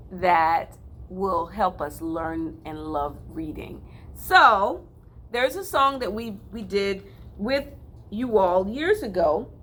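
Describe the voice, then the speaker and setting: A woman children's librarian hosting a virtual storytime, reading and chatting in a gentle, encouraging teacher tone. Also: lowish voice.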